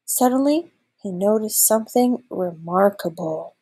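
A woman's voice: medium pitch, lively intonation, unhurried, mellow, slight background noise, rough recording. A woman in her thirties reading a children's storybook aloud expressively, like a teacher.

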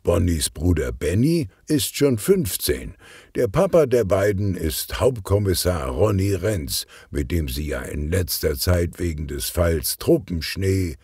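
A man voicing a genial, teasing police detective chief in a children's audiobook, in an unhurried, good-humoured manner. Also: sits low, very animated, dark tone, slightly rough, no room sound, studio quality, exaggerated voice. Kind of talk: children's audiobook character reading.

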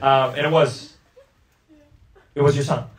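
A man in his thirties, a stand-up comedian doing crowd work on a club stage with a handheld mic, talking in an animated, incredulous way. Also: mid-range voice, unhurried, recorded from a distance, background noise. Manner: animated, incredulous, laughing often. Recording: roomy club sound with crowd laughter.

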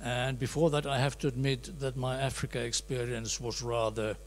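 A middle-aged man, a diplomat, introducing himself at a workshop in a small meeting room, relaxed and joking, into a handheld mic. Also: mid-range voice, moderate pace, slight background noise. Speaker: special envoy, diplomat.

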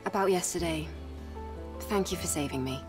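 A reserved young female soldier from a game, voiced by an actress, soft-spoken and guarded, with a lowish voice. She sounds a little distant from the mic, and game music plays behind her. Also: normal speed, natural voice. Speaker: protagonist. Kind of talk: game cutscene voice acting.

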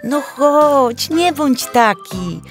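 A woman voicing a lively, impatient young village girl in love in a folk tale, calling out and exclaiming. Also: sits high, extremely slow, music in the background, voice pushed up, full character voice. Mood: coaxing, impatient.

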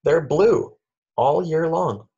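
A man's voice: a little high, slow pace, gravelly, warm tone, breathy edge, highs cut off. A man reading a children's picture book aloud in simple phrases, with lilting questions for young listeners.

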